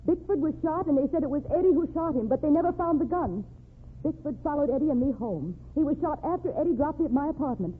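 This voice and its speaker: A woman's voice: high voice, expressive, fast. An actress playing a loyal, determined young American working girl in an old crime radio drama, earnest and plain-spoken. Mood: insistent. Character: loyal, determined working-class young woman, gangster's sweetheart. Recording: tinny noisy old radio recording.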